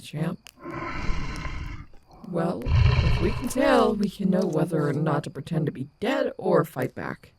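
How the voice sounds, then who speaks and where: A woman's voice: low-pitched, heavy background noise. A woman playing a confident, protective animatronic wolf in an audio roleplay, sarcastic but tender toward her companion.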